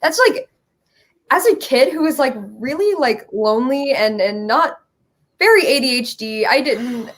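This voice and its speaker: A young woman guest in a casual chat, speaking in a halting, earnest and reflective way. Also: high voice, animated. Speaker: guest, creator.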